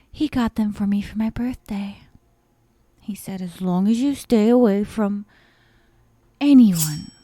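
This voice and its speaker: A woman voicing an obsessive, sadistic young yandere captor in a soft, breathy ASMR roleplay, half-whispering with sweet menace. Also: medium pitch. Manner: slow, breathy half-whispers broken by giggles. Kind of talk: ASMR roleplay, intimate dramatic monologue.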